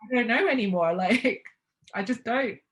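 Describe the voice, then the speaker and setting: A woman's voice: on the high side, moderate pace. A young woman talking casually as an interview guest, reflective, with hesitant restarts and light laughs.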